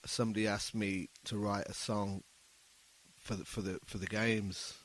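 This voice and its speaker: A man speaking as a musician guest in a casual interview, explaining his thoughts in a halting, thoughtful way. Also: on the low side, flat delivery.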